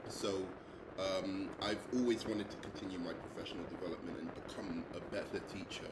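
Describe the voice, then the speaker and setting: A young man from London introduces himself as a teacher, pausing often on ums and uhs. His voice sits a little low. The room rings with echo, and steady background noise runs under him. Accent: English, London. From England. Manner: hesitant, many ums and uhs. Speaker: English teacher. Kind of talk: self-introduction video.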